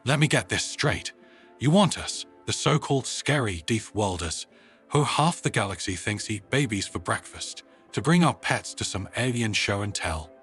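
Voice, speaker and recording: A man voicing a sarcastic, tough but soft-hearted female starship commander in a sci-fi audio story, with a dry manner. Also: lowish voice, expressive, normal speed, breathy edge, faint music behind, exaggerated voice.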